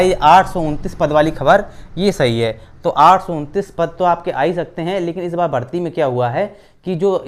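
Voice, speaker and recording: Clear, higher-pitched man explaining. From India. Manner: repeats phrases for emphasis, often checks in with listeners. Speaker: host of an exam-news channel. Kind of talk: informal explainer of job recruitment updates.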